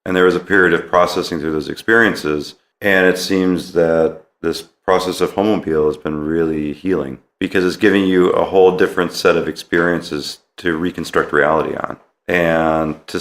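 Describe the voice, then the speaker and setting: A man's voice: low voice, fairly even intonation, moderate pace, roomy sound. A man hosting a casual podcast interview, thoughtful and reflective, restating things and prompting his guest. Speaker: podcast host.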